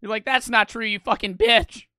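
A young man streaming casually, talking fast and animated with lots of swearing, close to the mic. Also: high voice, clear, resonant tone, dry recording.

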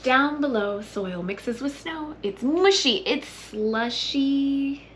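A woman in her thirties hosting a children's story time, reading and singing expressively with hushed, breathy asides. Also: high voice, lively intonation, slow. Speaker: library story time host. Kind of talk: children's story time read-aloud and sing-along. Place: children's library room, indoors. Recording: noticeable background room noise.

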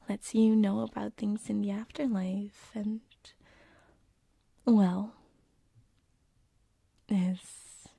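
A woman voicing a gentle, lovesick succubus sleep paralysis demon in a whispered ASMR roleplay, soft, hesitant and tender, close to a binaural microphone. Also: medium pitch, no echo, full character voice. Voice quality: breathy whisper. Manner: soft, hesitant, tender, with breathy giggles and sighs.

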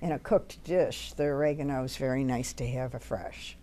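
A woman's voice: very deep voice, normal speed, dark tone, a little hiss or hum. An older woman co-hosting a home cooking show, chatting casually and filling in details for her partner. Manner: chatty, explains and adds to her partner's points. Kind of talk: casual conversational chat.

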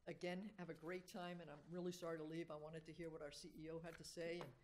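An older woman giving semi-formal welcome remarks as a board director at a podium, halting and restarting words. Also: deep voice, little pitch movement, darkish tone. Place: government board chamber, indoors. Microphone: gooseneck podium microphone. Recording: faint room noise on podium mic.

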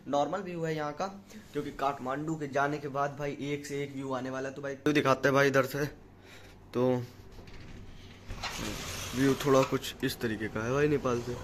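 A man's voice: mid-range voice, flat delivery, moderate pace, fairly bright tone, slight background noise. A young man chatting casually and informally to viewers as a travel vlogger, using slang, on a handheld phone. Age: young adult. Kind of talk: casual vlog chat to viewers. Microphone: phone held at arm's length.

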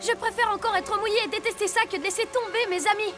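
A very high, childlike boy hero played by a woman in an animated cartoon. The delivery is earnest and exclamatory, full of dramatic excitement, with music playing behind it. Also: quick pace. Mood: earnest resolve. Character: young boy cat superhero, earnest and excitable. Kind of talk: animated cartoon dub acting. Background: cartoon score.

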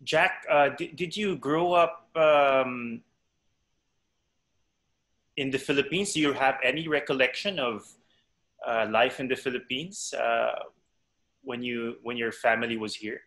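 A young man interviewing a guest over a video call, asking questions gently with fillers. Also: medium pitch, measured pace, long pauses. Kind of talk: online interview.

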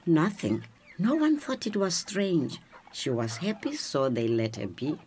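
Deep-voiced, wise South African grandmother. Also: huge pitch swings, a little slow, a little mellow, a little hiss or hum, full character voice. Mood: calm, persuading. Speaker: actor. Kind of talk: radio drama acting.

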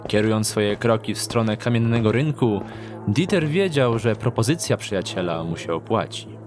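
Low-voiced male fantasy narrator over music. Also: lively intonation, normal speed. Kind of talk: scripted fantasy story narration.

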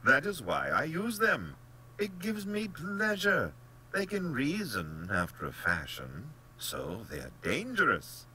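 Pompous, wildly swooping aristocratic general. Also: male voice, fairly high pitch, wildly swooping pitch, slow pace, pushed and bright, slight background noise, voice pushed up, full character voice. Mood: boastful, emphatic. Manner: blandly polite, pompous, theatrically emphatic. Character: genteel, smug, cold-blooded aristocratic general who hunts men. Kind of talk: dramatic audiobook character acting.

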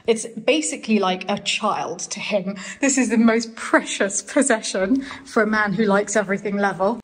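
Chatty woman, slightly high voice. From England. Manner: chatty, enthusiastic, teasing. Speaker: vlog host. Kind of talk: casual vlog narration. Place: large indoor bathroom. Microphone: handheld phone camera at arm's length.

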